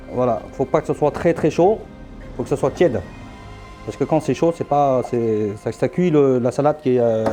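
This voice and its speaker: A middle-aged man walks through his dishes in a restaurant kitchen. His voice is mellow and dark, and he talks haltingly, leaning on fillers and restarts. Faint music plays behind him. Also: mid-range voice. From Vietnam. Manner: hesitant, many fillers, halting and repetitive. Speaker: chef. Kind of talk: cooking demonstration.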